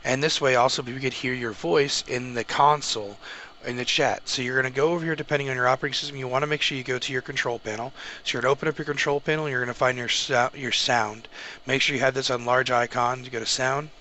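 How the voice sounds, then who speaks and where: Bright-voiced man giving a casual tutorial, noisy background. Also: mid-range voice, fairly even intonation, fast. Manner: informal step-by-step explaining. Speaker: tutorial host, gamer and streamer. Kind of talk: casual how-to tutorial narration.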